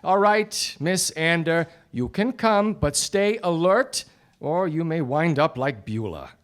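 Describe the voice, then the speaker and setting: An actor playing a wry, deadpan 1940s private detective in a radio noir drama. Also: male voice, on the high side, slow pace. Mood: polite, patient. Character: wry 1940s hardboiled male private detective, dryly exasperated. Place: theatre stage before a studio audience. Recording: live radio play with music behind.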